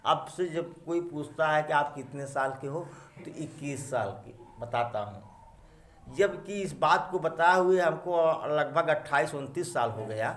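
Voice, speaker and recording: A man's voice: on the high side, animated, measured pace, a bit bright. A man of around fifty teaching a math class, counting aloud, questioning his students and adding joking asides. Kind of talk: classroom lesson. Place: echoey classroom. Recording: echoey classroom.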